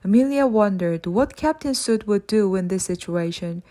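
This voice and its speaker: A woman's voice: mid-range voice, expressive, moderate pace, dark tone. A woman narrating a children's novel as an audiobook, acting out the dialogue as she goes. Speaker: audiobook reader. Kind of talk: scripted children's story narration with acted dialogue.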